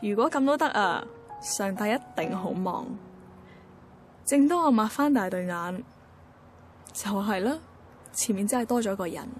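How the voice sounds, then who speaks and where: A woman's voice: medium pitch, animated, measured pace, long pauses, close to an everyday voice. A woman playing a wistful, introspective young working woman in a radio drama, with a Hong Kong Cantonese accent. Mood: reflective. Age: young adult. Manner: introspective, musing. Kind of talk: radio drama first-person monologue. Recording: clear music bed behind voice.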